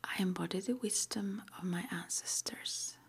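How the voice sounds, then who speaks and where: A woman whispering in a breathy, mostly unvoiced ASMR style, reading out short affirmations slowly and deliberately with pauses. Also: lowish voice. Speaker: ASMR roleplay host.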